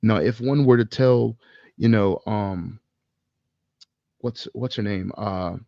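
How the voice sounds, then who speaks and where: A man co-hosting a casual podcast, speaking in an opinionated, emphatic way with swearing and halting pauses. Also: on the low side, creaky, no echo, highs cut off. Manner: profane, emphatic, halting with pauses mid-thought. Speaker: podcast co-host. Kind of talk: casual film discussion, opinionated ranting.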